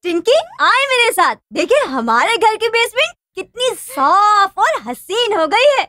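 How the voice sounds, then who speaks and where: A woman's voice: very high voice, big pitch swings, a little slow, sharp tone, no room sound, studio quality, heavily acted. A woman voicing a boastful, mischievous young boy in a children's cartoon, theatrical and whiny when in trouble. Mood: sly, taunting.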